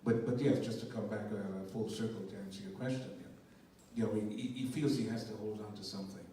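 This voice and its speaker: An older man from Guyana, a stage actor answering a question on a post-show panel in a halting, thoughtful way, often repeating words. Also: fairly low pitch, steady tone, warm tone, slight background noise. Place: theater stage. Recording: echoey hall from far off.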